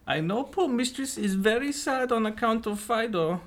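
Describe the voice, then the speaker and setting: A woman's voice: fairly high pitch, expressive, normal speed, pitched above the performer's own voice, close to an everyday voice. A woman voicing earnest, helpful toy dolls in a children's storybook reading. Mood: concerned.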